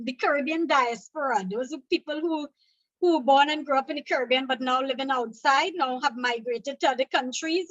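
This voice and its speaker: A woman's voice: high-pitched, a bit bright, no echo, dull audio. A woman from Trinidad and Tobago with a Trinidadian accent, teaching an exam revision lecture, explaining points step by step and checking in with "okay?".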